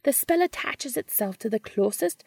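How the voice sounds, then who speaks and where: Scottish witch, acted by a woman, haughty, exasperated, swooping high pitch, creaky edges, condescending explanations, audio story. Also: expressive, normal speed, a little mellow, no echo, exaggerated voice. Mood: exasperated, smug. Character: smirking Scottish witch, grousing, bemused, haughty spellcaster.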